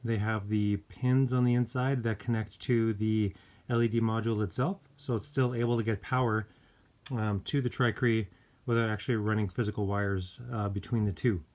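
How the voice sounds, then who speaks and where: A man giving a casual hands-on gadget review, speaking informally and hesitantly with pauses mid-thought. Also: on the low side, steady tone, a little mellow. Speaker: product reviewer. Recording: clear background noise with clicks.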